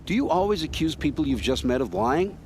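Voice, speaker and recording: An actor playing an evasive, defensive scout and ruin scavenger in a video game, brusque and folksy. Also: male voice, on the high side, animated, brisk, a little mellow, lightly acted. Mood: indignant. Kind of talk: video game character dialogue.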